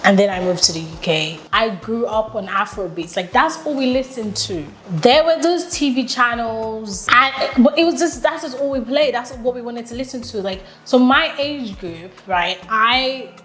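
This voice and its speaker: A woman's voice: on the high side, moderate pace, few pauses, a bit bright, reverberant, slight background noise. A woman in her thirties from Nigeria, hosting a casual solo podcast in an enthusiastic, chatty way full of "like" and "literally.". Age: 30s. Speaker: podcast host.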